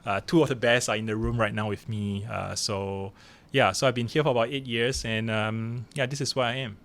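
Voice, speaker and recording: A Singaporean man in his forties, a professor guest telling his life story in a relaxed, self-deprecating way with many fillers. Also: on the low side, brisk. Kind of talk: casual podcast interview.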